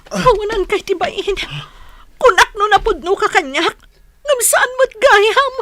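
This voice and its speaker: A woman's voice: very high voice, expressive, measured pace, fairly bright tone, slight background noise, exaggerated voice. An actress playing a distressed, pleading young woman in an Ilocano radio drama. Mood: hurt, angry. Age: young adult.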